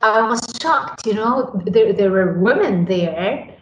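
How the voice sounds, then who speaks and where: A woman's voice: mid-range voice, animated, slow. A woman from Lebanon, earnestly telling her story in a podcast interview and often repeating words as she starts a sentence. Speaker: radio program director. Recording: echoey room, faint noise.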